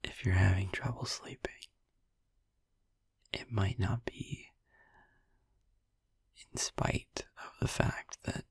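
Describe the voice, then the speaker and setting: A man playing a sleepy, gentle, reassuring vampire boyfriend in a whispered sleep-aid audio roleplay, rambling in a hesitant, breathy, husky murmur. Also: low-pitched, steady tone, no room sound, full character voice. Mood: drowsy, rambling. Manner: rambling, hesitant, halting, drowsy.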